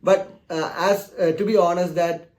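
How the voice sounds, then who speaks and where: A man's voice: high voice, steady tone, moderate pace, a little mellow. Earnest man. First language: Malayalam. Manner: frequent "uh" fillers, earnest and encouraging. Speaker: channel host. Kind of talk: casual direct-to-camera talk.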